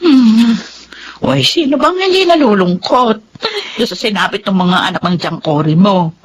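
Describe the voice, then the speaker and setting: A woman's voice: mid-range voice, animated, moderate pace, slight background noise, lightly acted. An actress playing an exasperated, outspoken Filipino mother, scolding expressively in a radio drama. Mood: saddened, resigned.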